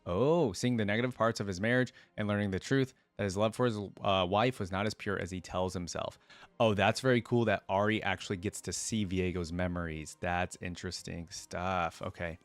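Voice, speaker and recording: A man's voice: low voice, steady tone, normal speed, no echo. A man hosting a reaction video, reading written lore aloud and breaking off for casual commentary. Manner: casual asides while reading.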